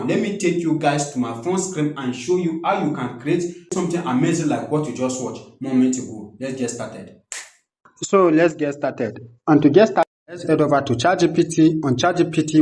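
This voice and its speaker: A man's voice: on the high side, a little mellow, low-quality recording. Young man hosting a step-by-step tutorial. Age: young adult. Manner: repetitive, instructional. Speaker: tutorial host. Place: small indoor home studio. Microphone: desktop condenser microphone close in front.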